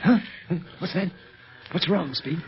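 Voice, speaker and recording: A male actor playing a steady, protective secret police agent in a 1930s radio serial, explaining and giving orders with authority. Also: high voice, slow, gravelly, exaggerated voice. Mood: tense, commanding. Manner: commanding, explanatory. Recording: muffled 1930s radio recording.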